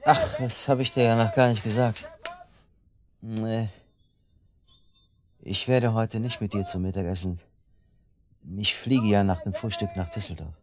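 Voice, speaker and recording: An actor playing an evasive, irritable and weary husband in a radio drama. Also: male voice, lowish voice, steady tone, a little slow, pauses often, background noise, close to an everyday voice. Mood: offhand. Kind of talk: German radio drama acting.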